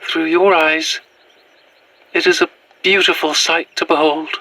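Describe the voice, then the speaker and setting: A woman's voice: low-pitched, fairly even intonation, a little slow, sharp tone, full character voice, rough recording. A woman playing a courteous, wistful Victorian figure in an audio drama, with formal, old-fashioned phrasing. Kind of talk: period-styled audio drama monologue.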